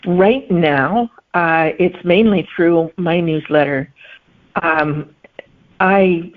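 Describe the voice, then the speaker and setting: A middle-aged woman, a guest author, answering interview questions in a thoughtful way and pausing to find her words. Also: lowish voice. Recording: phone-like remote line.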